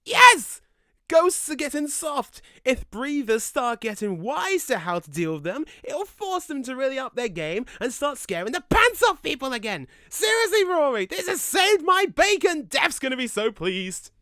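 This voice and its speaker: Excitable, bright-voiced actor as a cheeky male ghost. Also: extremely high pitch, normal speed, no echo, studio quality, full character voice. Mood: thrilled. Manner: animated, exclamatory, chatty. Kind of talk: audio drama dialogue.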